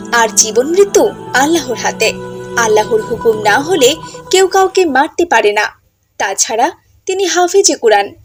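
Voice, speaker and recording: A woman narrator with a high-pitched voice tells a scripted cartoon story. Background music plays clearly beneath her narration.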